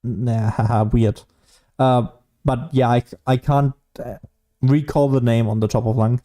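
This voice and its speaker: A man's voice: medium pitch, steady tone, a little slow, dark tone. Casual man rambling through gameplay commentary. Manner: rambling, thinking aloud. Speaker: gaming streamer.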